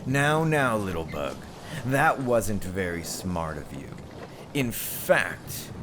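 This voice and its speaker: A man playing a ruthless, mocking pirate captain in a theatrical, drawn-out ASMR roleplay performance. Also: medium pitch, background noise. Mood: threatening, commanding. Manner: theatrical, taunting, drawn-out delivery. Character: ruthless male pirate captain, mocking, menacing, mock-gracious. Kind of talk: scripted ASMR roleplay acting.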